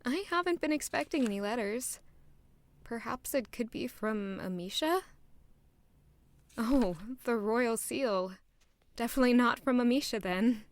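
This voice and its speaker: A woman's voice: a little high, animated, unhurried, pauses often, no room sound. A woman playing a humble, lovelorn young castle maid who narrates her own story in an audio drama. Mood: surprised, wistful.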